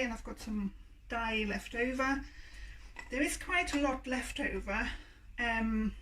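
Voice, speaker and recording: Woman, croaky, high-ish voice, casual demo, mic a little distant, faint hum. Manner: chatty, hesitant with many ums. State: croaky voice, clearing throat. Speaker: yarn dyer and shop owner. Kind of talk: casual demonstration video. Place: home workspace with hot plate. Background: faint hum of a hot plate.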